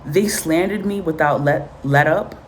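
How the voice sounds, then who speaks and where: A woman's voice: deep voice, a little slow, a little hiss or hum. A woman from Guatemala and Honduras, Spanish her first language, giving a casual spoken reading with blunt, profane, repetitive commentary. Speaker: tarot and spiritual reader.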